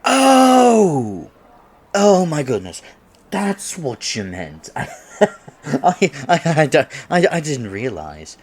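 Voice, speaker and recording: A man's voice: on the high side, huge pitch swings, drawn-out words, breathy edge, heavily acted. A man in his twenties playing a nervous, polite young silver fox kitsune who wants to seem mature, in an ASMR date roleplay, speaking formally with stammers. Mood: flustered, apologetic. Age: 20s. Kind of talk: ASMR roleplay, scripted one-sided date conversation.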